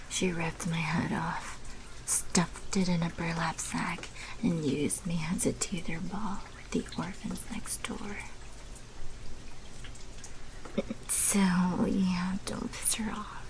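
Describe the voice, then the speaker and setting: A woman voicing a sly female vampire mafia manager in an ASMR roleplay, mostly in a breathy whisper with slow, theatrical delivery. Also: lowish voice, fairly even intonation, background noise. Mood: wry, conspiratorial.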